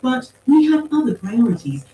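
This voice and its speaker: A woman's voice: high voice, big pitch swings, pitched above the performer's own voice. Woman voicing a precise, coolly logical machine mind. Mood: coolly reasoning. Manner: formal, precise, measured. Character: calm, coolly logical machine mind speaking through empty suits. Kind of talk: acted audiobook dialogue. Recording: roomy, distant pickup with faint noise.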